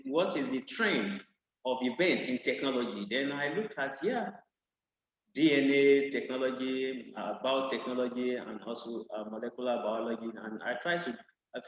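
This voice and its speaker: A man introducing his research on a video call, earnest and halting with frequent pauses. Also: medium pitch, slight background noise. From Nigeria. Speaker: panelist, research scientist. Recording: echoey, dull video-call audio.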